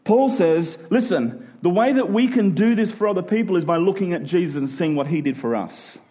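A male preacher with a somewhat high voice delivers a sermon. He speaks conversationally and informally, then leans on key words, drawing them out slowly for emphasis. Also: lively intonation, dark tone. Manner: conversational, informal asides to listeners. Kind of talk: sermon to a congregation.

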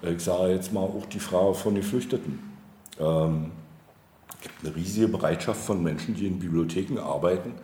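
A man giving reflective, deliberate interview answers on policy, often pausing and searching for his phrasing. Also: low voice, dark tone. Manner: hesitant, deliberate, halting phrasing. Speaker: political candidate being interviewed. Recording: roomy, noticeable background noise.

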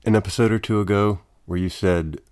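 A very deep male voice, recorded close and dry with no room sound. He plays the put-upon intern on a comedy podcast, answering in a meek, deadpan way, with a mildly aggrieved edge. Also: a little fast, smooth, dry recording. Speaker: intern and sidekick.

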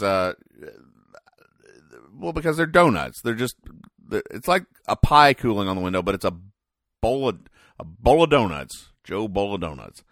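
Male comedy podcast host, deep voice, halting deadpan explainer, close dry recording. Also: no echo. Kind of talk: comic commentary describing newspaper comic strips.

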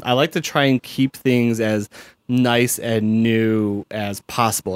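A man's voice: medium pitch, no room sound. A young man with a Chicago accent, chatting casually and rambling with lots of fillers. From American Midwest. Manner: rambling, heavy use of "like" and "um". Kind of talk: casual chat.

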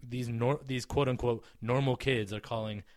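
A man's voice: medium pitch, fairly even intonation, moderate pace, a little mellow, dry recording, clean studio sound. A man in his thirties chatting casually as a podcast co-host, rambling with many restarts and fillers and swearing freely. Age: 30s. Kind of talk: casual chat.

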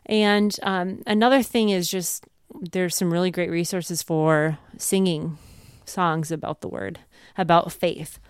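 A woman's voice: lowish voice, fairly even intonation, measured pace, clear, resonant tone, no room sound, clean studio sound. A woman in her thirties chatting earnestly and casually as a co-host and mother, sharing advice in a conversational way.

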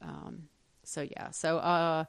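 A woman's voice: deep voice, expressive, slow, creaky, clear, resonant tone. A woman co-hosting a casual podcast chat, getting over losing her voice and often restarting her sentences. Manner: chatty, trails off and restarts often. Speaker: podcast co-host.

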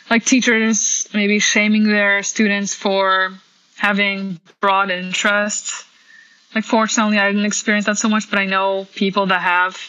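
A woman percussionist with a slight Polish accent talks through interview questions in a loose, chatty way. Her voice is bright and forceful, sitting at an ordinary pitch for a woman. She fills pauses with "um" and "like" and laughs lightly between thoughts. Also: lo-fi sound. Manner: chatty, many fillers and laughs. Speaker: percussionist guest. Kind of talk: casual interview answers.

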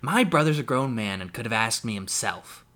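A man's voice: mid-range voice, animated, moderate pace. A man voicing a bitter, drunken uncle who rants and snarls at his family. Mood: snapping. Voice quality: loud, snarling, rising to shouts. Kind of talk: audiobook character voice.

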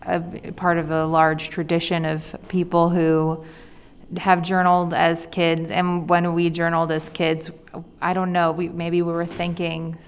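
A woman's voice: on the low side, monotone, a little slow, clear, resonant tone. An American woman being interviewed as an author, talking casually indoors. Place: indoors at a school. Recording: long reverb of a school room.

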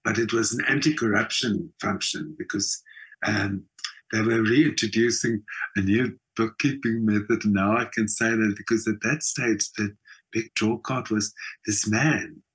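A man's voice: on the low side, fairly even intonation, unhurried, fairly bright tone, breathy edge, low-quality recording. A middle-aged man with a South African accent, chatting casually as an interview guest and telling stories, often checking that the listener follows. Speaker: skills trainer. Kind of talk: casual conversational storytelling.

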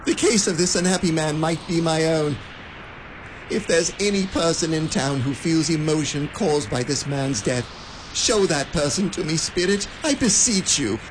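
A man playing a shaken old miser, high and pleading. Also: moderate pace, background noise, lightly acted. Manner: urgent, imploring. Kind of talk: radio drama acting.

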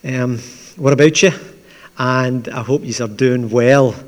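A man with a Glaswegian accent, preaching as the pastor of a church in a conversational, gently humorous way. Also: mid-range voice, steady tone, measured pace. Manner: conversational, with asides and dry humour. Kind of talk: sermon with opening prayer. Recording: long church reverb.

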